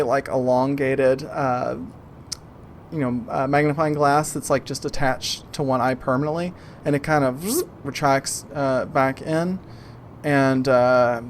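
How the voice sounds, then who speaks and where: Halting game master, man, faint background noise. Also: on the high side. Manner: halting, casual, searching for words. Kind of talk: improvised narration of a tabletop game.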